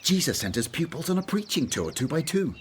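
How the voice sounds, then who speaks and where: A man's voice: a little high, big pitch swings, a little fast, slight background noise. An actor playing an earnest, conscientious male disciple in a radio drama, emphatic and easily stirred. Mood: excited, happy.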